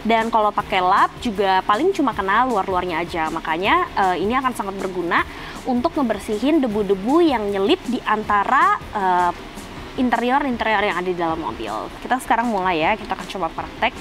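A young woman with a Jakarta accent talks viewers through a hands-on task in a chatty, slangy manner, dropping frequent "eh" fillers. Her voice sits at a middling pitch and is recorded outdoors on a clip-on mic. Background music plays clearly underneath her. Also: very animated, a little fast. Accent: Jakarta Indonesian. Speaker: car channel presenter. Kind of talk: casual how-to presenting to camera. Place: outdoors by a car in a residential driveway. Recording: music playing behind the voice.

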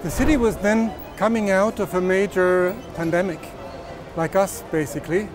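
High-voiced man lecturing outdoors. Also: fairly even intonation, normal speed, music in the background. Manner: lecturing with frequent fillers. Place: outdoor city square.

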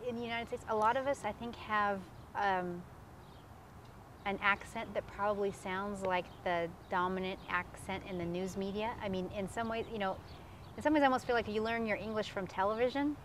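A woman's voice: mid-range voice, fairly even intonation, fairly quick, a bit bright, slight background noise. A middle-aged woman thinks aloud in an outdoor interview, often hedging with "I mean" as she works through an idea. Faint outdoor ambience sits behind her voice. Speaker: author interviewee. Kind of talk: thoughtful interview answers. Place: outdoors on a park lawn.

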